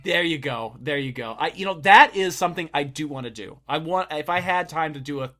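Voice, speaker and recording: A man's voice: a little high, brisk, bright, forceful tone. A young man hosting a casual podcast chat, rambling with fillers and laughing often. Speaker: podcast host.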